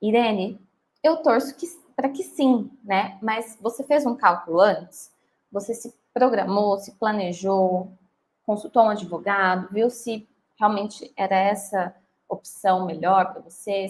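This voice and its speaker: A woman's voice: medium pitch, measured pace. Woman, 30s, lawyer on a live Q&A; chatty, checks in with listeners often. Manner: chatty and reassuring, often ends with "tá?". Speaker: lawyer answering viewer questions. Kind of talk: live Q&A, reading comments aloud.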